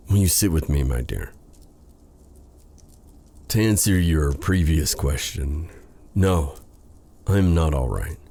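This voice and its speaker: A man playing a brooding, remorseful dark sorcerer in an intimate fantasy ASMR roleplay, speaking formally and haltingly to the listener in a husky, breathy voice. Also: a bass voice, exaggerated voice. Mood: troubled, gentle. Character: brooding, remorseful dark sorcerer, bitter yet tender captor.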